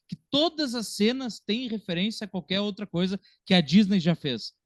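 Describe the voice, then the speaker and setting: A man's voice: high-pitched, lively intonation, moderate pace, no echo, studio quality. A man with a southern Brazilian accent in a casual film discussion as a podcast panelist, talking in an informal, slangy way.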